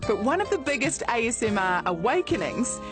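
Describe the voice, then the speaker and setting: A woman's voice: fairly high pitch, big pitch swings, brisk. A woman with a New Zealand accent, narrating a scripted television feature as its reporter. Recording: music bed behind voice. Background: music.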